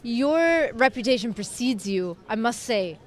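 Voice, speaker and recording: A woman's voice: on the high side, lively intonation, a little slow. A woman hosting an interview at an event, asking questions enthusiastically and with many fillers. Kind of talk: casual interview.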